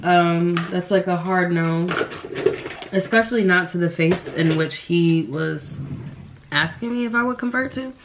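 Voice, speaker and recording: Slow-talking nasal young woman. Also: lowish voice, background noise. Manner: rambling, lots of "like" and "um" fillers. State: nasal from the flu. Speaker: beauty vlogger. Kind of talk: casual get-ready-with-me chat. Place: indoors at home, getting ready.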